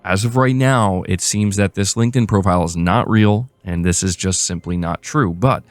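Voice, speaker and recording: A man's voice: sits low, normal speed, no room sound, faint music behind. A man hosting a gaming news show, moving between read-out reports and casual commentary. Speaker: host of a gaming news channel.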